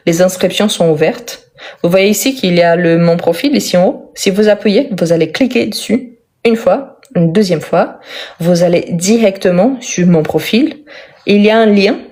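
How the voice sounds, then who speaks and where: A Brazilian-born woman whose first language is Portuguese, teaching French in a live online lesson, speaking slowly and simply and often repeating words for emphasis. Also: mid-range voice, a little mellow. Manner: slow, careful, simple speech for learners.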